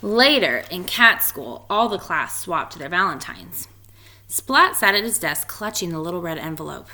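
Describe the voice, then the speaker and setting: Woman doing a kids book read-aloud, really lively pitch swings, bit of gravelly fry. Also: normal speed. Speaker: story reader. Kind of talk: expressive children's picture book read-aloud.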